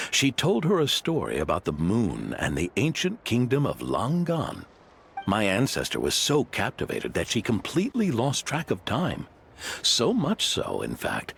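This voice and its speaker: Game role: patient, avuncular older innkeeper. Gravelly, very expressive, measured storyteller. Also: male voice, fairly low pitch, a little hiss or hum, heavily acted. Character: patient older male storytelling guest house keeper. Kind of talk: video game character acting.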